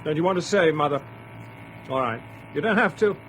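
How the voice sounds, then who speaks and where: A devoted father, slightly high-pitched. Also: lively intonation, fairly quick, a bit bright, slight background noise, low-quality recording. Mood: playful, gentle. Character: devoted father, resolute and gently humorous. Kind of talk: radio drama acting.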